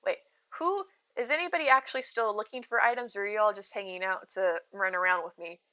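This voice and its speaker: A woman's voice: mid-range voice, fast, bright, forceful tone, muffled recording. A woman streamer chatting casually with her viewers, rambling and giggling as she goes, with a soothing voice. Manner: chatty, rambling, giggly, addresses chat by name. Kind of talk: casual live-stream chat.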